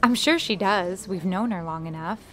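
A woman's voice: mid-range voice, normal speed, a bit bright, natural voice. A woman playing a friendly, easily flustered young woman in an amateur audio drama, conversational and apologetic. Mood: chatty.

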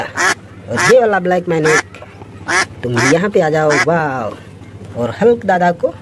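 A host narrating toy play for children in a playful, exclamatory way, full of repeated little cries of wonder. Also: medium pitch. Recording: very noisy background.

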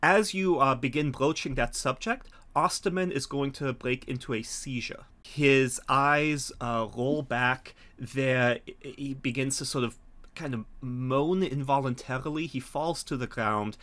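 A middle-aged man explaining and narrating a tabletop game as game master, phrasing things in a hesitant, measured way. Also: medium pitch, a bit bright. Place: small home room, video call. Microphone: video call from a desk.

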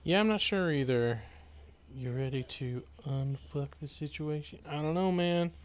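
A man giving casual live gameplay commentary, musing aloud in a jokey, drawn-out way. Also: on the high side. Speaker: game streamer.

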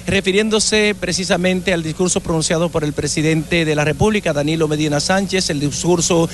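A man reporting live from an outdoor event, holding a handheld microphone, formal and steady in his delivery. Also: on the high side, brisk, slight background noise. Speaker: field reporter. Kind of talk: live news interview. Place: outdoors at a crowded official event.

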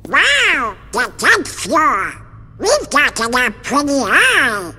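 An actor voicing an excitable, loyal cartoon duck with a garbled, squawky quacking voice in a game cutscene. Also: sits high, takes it slowly, slightly rough, in a large hall, heavily acted. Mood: amazed.

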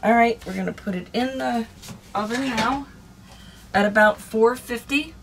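An older woman with a hoarse throat, chatting casually through a cooking vlog in a small camper kitchen. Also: on the high side, a little slow, a little hiss or hum. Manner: chatty, homey, rambling. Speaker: cooking vlogger.

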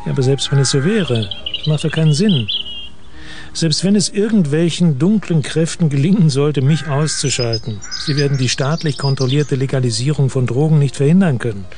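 An actor playing a sceptical, busy middle-aged government minister in a radio play, with a dry, dismissive manner. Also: male voice, fairly high pitch, moderate pace, over music, natural voice. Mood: incredulous.

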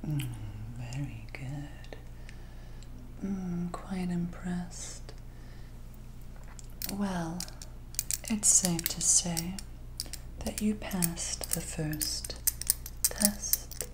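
A woman's voice: on the low side, background noise. A woman in her thirties playing a sinister, teasing doctor in a whispered, coaxing ASMR roleplay, close to the mic. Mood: softly coaxing. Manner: slow, hushed, coaxing. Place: dim living room at night.

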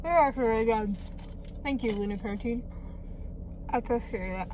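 A woman's voice: a little high, a little fast, darkish tone, slight background noise. An eighteen-year-old woman vlogging casually from a parked car, chatty and self-mocking, swearing freely. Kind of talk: casual vlog chat. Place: parked car at night, indoors. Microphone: phone camera held or propped close.

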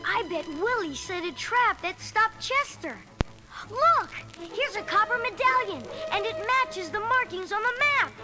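A woman voicing an earnest, excitable young boy who leads his friends on a treasure hunt in a storybook record. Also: very high-pitched, measured pace, heavily acted. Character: eager adventurous young boy leader. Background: music.

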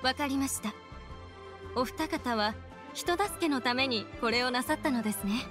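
A woman voices a young teahouse attendant from a video game in a high voice. She is composed, formal and deferential, with soft music behind. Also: normal speed, lightly acted. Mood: courteous. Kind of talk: Japanese game voice acting.

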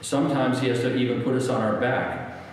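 An older American man preaching a sermon as a pastor, repeating phrases for emphasis with deliberate pauses. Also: mid-range voice, fairly even intonation. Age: 60s or older. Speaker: guest preacher, pastor and former military chaplain. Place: church sanctuary, at a wooden lectern. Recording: very echoey church hall, long reverb.

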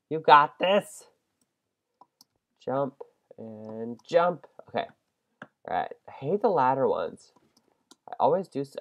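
Congested young male game host, animated, self-deprecating, prone to yelps. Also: medium pitch. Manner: excitable, self-deprecating, shrieks at mistakes. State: congested from a head cold. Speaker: gaming channel host. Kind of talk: casual Minecraft let's-play commentary.

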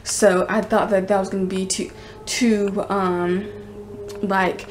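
A young American woman talks casually to her camera at arm's length in a room at home. She rambles and restarts in an informal, halting way, with a medium-pitched voice. There is some noise in the handheld recording. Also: flat delivery. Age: teens to young adult. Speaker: vlogger.

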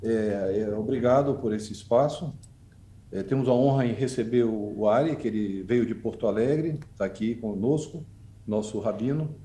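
A man's voice: fairly low pitch, normal speed, mellow, a little hiss or hum. A man in his forties, president of a community association, speaking earnestly with many fillers in a radio interview by video call. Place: office with shelves. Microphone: video call webcam. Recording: echoey room over video call.

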